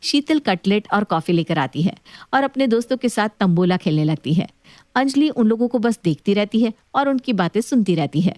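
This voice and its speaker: A woman's voice: mid-range voice, expressive, moderate pace, darkish tone, dry recording. A woman narrating a scripted domestic tale as storyteller.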